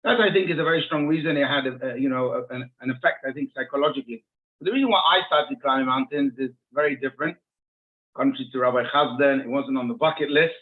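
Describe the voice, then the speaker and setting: A man's voice: medium pitch, quick pace. A man from Israel, a rabbi and interview guest, telling stories conversationally, often repeating and rephrasing as he explains. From Israel, born and raised in Jerusalem. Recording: muffled phone-like narrowband audio.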